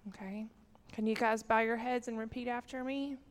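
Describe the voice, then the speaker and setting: A woman leads a children's sermon, talking into a microphone in a church sanctuary and peppering the kids with questions. Her voice sits a touch high, and a long reverb trails after it. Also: fairly even intonation, moderate pace. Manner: chatty, asks children questions.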